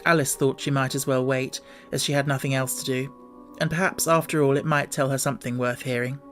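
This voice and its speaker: A man reading a classic storybook aloud with measured, gently expressive narration. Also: medium pitch, faint music behind. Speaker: audiobook reader.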